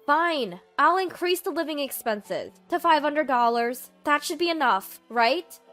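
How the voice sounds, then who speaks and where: A woman's voice: on the high side, slow, fairly bright tone, faint music behind. A woman voicing an entitled, indignant freeloading sister-in-law in a dramatized story, with a dismissive manner.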